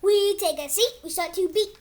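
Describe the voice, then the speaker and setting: A child hosting a read-aloud of a picture book, reading haltingly like a beginner and drawing words out. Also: very high-pitched.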